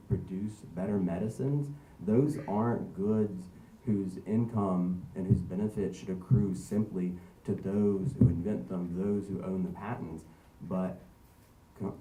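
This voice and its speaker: A man with a very deep, dark, mellow voice lectures to a room, leaning on um and asking right? as he goes. He sounds far from the mic, with a roomy hall echo and faint noise behind. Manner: lecturing, frequent um and tag questions like right. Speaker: panel speaker. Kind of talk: public talk, reading a law aloud then discussing it.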